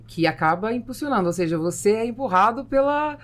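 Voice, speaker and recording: A Brazilian woman hosting a business podcast, asking long questions and telling lively anecdotes. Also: a little high, a little fast. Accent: Brazilian Portuguese. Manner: animated, tells anecdotes, asks long questions. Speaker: podcast host.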